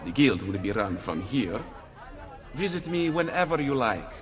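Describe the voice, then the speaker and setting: An actor playing a shrewd Italian thieves' guild leader, sly and deliberate, with an Italian accent, in a video game cutscene. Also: male voice, mid-range voice, big pitch swings, some chatter behind, close to an everyday voice. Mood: welcoming, sly.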